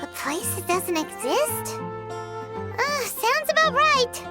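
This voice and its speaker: Tiny game fairy girl, extremely high squeaky voice, excitable, dramatic, music behind. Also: takes it slowly, exaggerated voice. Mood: flustered. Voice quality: squeaky, childlike. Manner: speaks of herself in third person, dramatic. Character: small childlike excitable fairy companion girl. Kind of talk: video game character acting.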